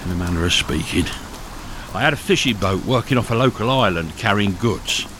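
Man acting evasive hunted smuggler, radio drama, expressive, commanding, clear rain noise. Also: fairly low pitch, fairly quick, natural voice. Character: hunted, hardened male smuggler with wounded arm, evasive.

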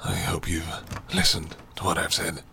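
An actor playing an obsessive, pleading old mine owner in a radio drama, insistent and repeating himself in agitation. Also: male voice, sits low, sing-song, moderate pace, gravelly, pushed and bright, slight background noise, full character voice. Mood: urgent, pleading. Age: older.

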